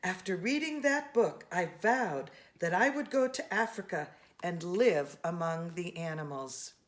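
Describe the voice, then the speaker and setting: A woman reading a children's storybook aloud in an expressive, animated way, speaking to young listeners. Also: fairly low pitch, unhurried. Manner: expressive, with gasps and brief asides to listeners.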